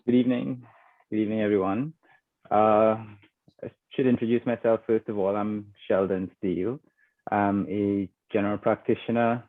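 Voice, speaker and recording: A man's voice: lowish voice, steady tone, moderate pace, no room sound. A male general practitioner, introducing himself conversationally on a panel with frequent um and uh. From Trinidad and Tobago. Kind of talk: online panel discussion. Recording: thin phone-like audio, dry.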